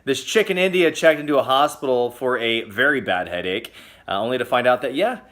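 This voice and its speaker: Man, show host, casual comedic news roundup, joking and chatty, bursts of loud exclamation. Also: mid-range voice, animated, brisk.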